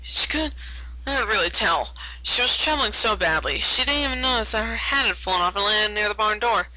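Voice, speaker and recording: A woman's voice: medium pitch, expressive, brisk, sharp tone, dry recording, rough recording. A woman narrating a story aloud as an amateur reader, often stumbling over words and correcting herself. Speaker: amateur fan fiction reader.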